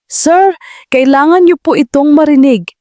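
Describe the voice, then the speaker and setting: A woman's voice: high voice, measured pace, mellow, no echo, pitched above the performer's own voice. A woman playing an anxious older female household helper in an audio drama, urgent and respectful. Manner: urgent, pleading. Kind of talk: Tagalog audio drama acting.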